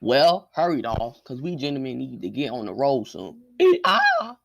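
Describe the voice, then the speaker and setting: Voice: mid-range voice, wildly swooping pitch, a little slow, creaky, a bit bright, exaggerated voice. A student actor playing a dim, impatient gangster henchman in a radio play.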